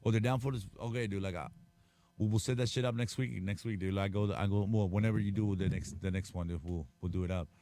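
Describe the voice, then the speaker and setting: A man's voice: lowish voice, steady tone, quick pace, warm tone. A man in casual chat after a karaoke night, talking in a slangy, stammering way with lots of swearing.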